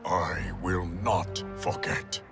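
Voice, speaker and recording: A man's voice: low-pitched, slow pace. A man playing a proud, weary warlord in a video game cutscene, speaking in formal, stately phrases. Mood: solemn, grateful. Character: proud, weary male warlord ruler of a fantasy realm. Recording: loud music behind the voice.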